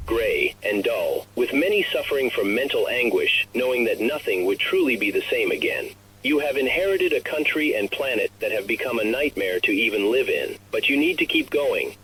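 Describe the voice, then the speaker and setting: A man playing a grave narrator of a recorded message to the last human, speaking in a solemn, measured, formal way for an analog horror story. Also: mid-range voice, animated, a bit bright, a little hiss or hum, natural voice, poor audio.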